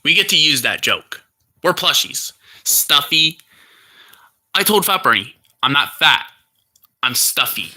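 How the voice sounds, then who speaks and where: A man's voice: fairly high pitch, very animated, a little slow, pauses often, pushed and bright. A man speaking as a plush toy character on a livestream, rambling through crude banter with frequent restarts. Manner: rambling, stumbles and restarts mid-sentence.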